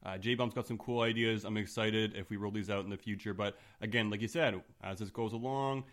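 A man from Canada with a deep voice, in his thirties, co-hosting a podcast in relaxed, casual chat. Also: brisk. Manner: relaxed and chatty, trailing off quietly at phrase ends. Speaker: podcast co-host.

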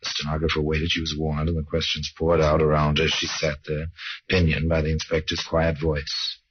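Sardonic radio crime storyteller, very deep voice, deliberate, rhetorical, brooding, muffled vintage sound. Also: male voice, completely flat delivery, slight background noise. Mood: grave, suspenseful. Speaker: narrator. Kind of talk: dramatic old radio crime narration. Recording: dull old radio recording.

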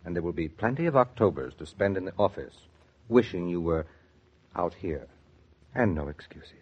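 A man's voice: low voice, expressive, normal speed, slightly rough, highs cut off. A man playing a kindly, persuasive family friend and colleague in a 1940s radio drama. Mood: persuasive, reassuring.

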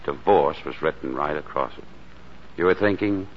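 An actor playing a devoted, reassuring male doctor in love, tender and gently teasing in 1940s radio drama style. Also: very deep voice, normal speed, slight background noise. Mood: playful. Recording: muffled 1940s radio recording, music behind.

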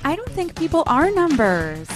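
A woman's voice: sits high, huge pitch swings, moderate pace, music in the background, voice pushed up. A woman playing an earnest, naive teenage actress, reading her guileless interview answers aloud from a novel. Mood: earnest, modest. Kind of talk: acted read-aloud of novel dialogue.

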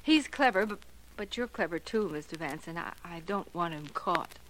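A woman's voice: fairly low pitch, lively intonation, moderate pace, fairly bright tone, rough recording. An actress playing a plain, resigned woman of thirty-four in a 1940s radio drama, confiding in hesitant, stammering phrases. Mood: resigned, calm.

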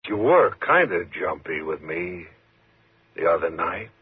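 An actor playing a coolly taunting, sinister night elevator operator in a 1950s radio thriller, laconic and ominous. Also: male voice, low-pitched, very animated, a little slow, slightly rough, dry recording, heavily acted. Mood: taunting, menacing. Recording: muffled, tinny 1950s radio recording.

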